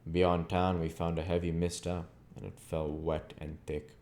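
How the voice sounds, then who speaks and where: A man with a very low, dark and mellow voice reads as an audiobook narrator. His delivery is measured and literary, with a soft-edged tone. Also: flat delivery. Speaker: audiobook reader, channel host. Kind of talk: scripted narration of a classic novel.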